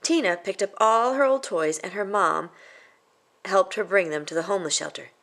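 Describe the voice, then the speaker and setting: A woman reading a children's picture book aloud as expressive story narration. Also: medium pitch, normal speed. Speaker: storybook reader.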